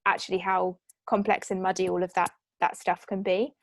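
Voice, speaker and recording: A woman's voice: fairly low pitch, level tone, a little fast, no room sound. A woman moderating a conversational panel Q&A, phrasing her questions in a thoughtful, roundabout way. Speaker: moderator, film producer hosting a Q&A.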